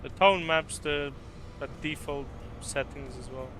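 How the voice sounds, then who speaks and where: A man from Poland chatting casually on a live stream, answering viewers with a relaxed, rambling manner and many fillers. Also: a little high, measured pace, soft background music. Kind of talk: casual live-stream chat while driving.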